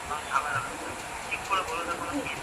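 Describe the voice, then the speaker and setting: A man's voice: on the high side, very fast. A man talking bluntly and argumentatively in a casual phone conversation. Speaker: prank call recipient. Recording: phone call with clear vehicle-like noise.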